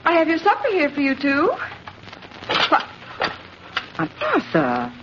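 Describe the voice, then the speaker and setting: An actress playing a bossy, falsely solicitous niece in a 1950s radio drama, coaxing and scolding. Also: female voice, sits high, wildly swooping pitch, unhurried, heavily acted. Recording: scratchy, muffled 1950s radio recording.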